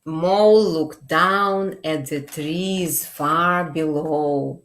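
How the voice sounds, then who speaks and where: A middle-aged woman reads a picture book at storytime in a low voice. She goes very slowly and addresses young children gently and expressively. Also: a little hiss or hum. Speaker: children's librarian. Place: small indoor room.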